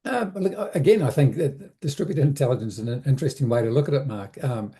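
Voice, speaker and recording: A man with a notably dark, soft-edged voice at an ordinary male pitch. He explains things conversationally, like an advisor being interviewed. His delivery is reflective, circling back and rephrasing as he goes. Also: lively intonation. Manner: reflective, halting, repeats words and rephrases as thinking aloud. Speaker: interview guest, business advisor.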